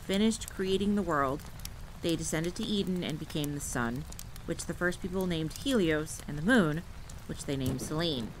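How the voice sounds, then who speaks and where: A woman's voice: lightly acted, poor audio. A woman playing an exasperated veteran monster hunter in an audio roleplay, wry and teasing, solemn when telling lore. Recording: steady rain and rumble behind. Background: rain, campfire ambience.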